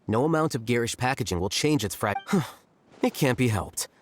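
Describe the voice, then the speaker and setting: A calm, aloof genius scholar in a video game who explains things precisely and drily. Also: medium pitch, normal speed, a little hiss or hum, natural voice. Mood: dismissive.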